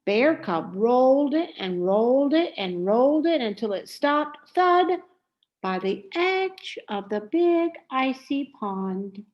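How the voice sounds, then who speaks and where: A woman reading a children's story aloud in a deliberate, expressive way. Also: sits high, dull audio. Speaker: storybook reader.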